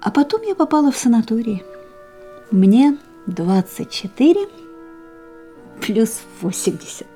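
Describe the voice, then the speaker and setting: A war-scarred twenty-four-year-old woman in a radio play. Her voice is dark and very mellow, soft and melancholy, with a world-weary wistfulness, set against music. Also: a little high, sing-song, measured pace, long pauses. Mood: sorrowful, bitter. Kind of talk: radio drama acting, dialogue and monologue.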